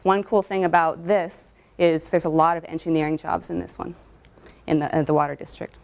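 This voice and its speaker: A woman's voice: on the low side, level tone, a little fast, creaky, a little mellow. A young adult woman, a lab technologist, giving a friendly, explanatory talk about her work and background, with frequent ums. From New England and Southern California. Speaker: guest speaker, cytogenetic technologist. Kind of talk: presentation talk with slides.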